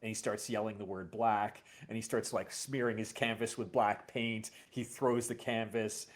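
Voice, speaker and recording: Low-voiced, reflective man chatting. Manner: halting, reflective storytelling. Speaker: host. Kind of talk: casual, personal conversation.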